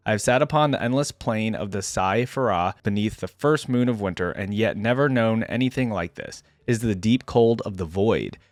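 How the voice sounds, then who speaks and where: A man reading aloud as a weary, grieving, defiant armored warrior in a solemn, measured storybook manner. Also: fairly low pitch, no echo, voice pushed down, lightly acted. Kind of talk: dramatic first-person story reading.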